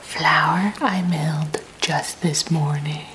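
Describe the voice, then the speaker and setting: A woman's voice: deep voice, steady tone, takes it slowly, noisy background. A woman playing a prim, unhinged alien housewife in an ASMR roleplay, whispering sugary, smug small talk into a close mic. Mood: sweet whisper. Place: pink kitchen set, indoors.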